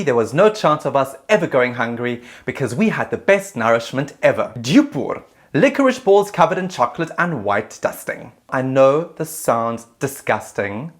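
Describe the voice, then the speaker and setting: A man's voice: mid-range voice, fairly bright tone. A man narrating his own travel story in a casual, self-mocking voice-over.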